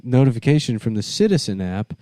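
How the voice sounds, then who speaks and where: A man co-hosting a comedy podcast, talking in a deadpan, mocking way with mumbled asides. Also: medium pitch, fairly quick, no room sound, studio quality. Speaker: podcast co-host. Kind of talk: casual comedy podcast banter.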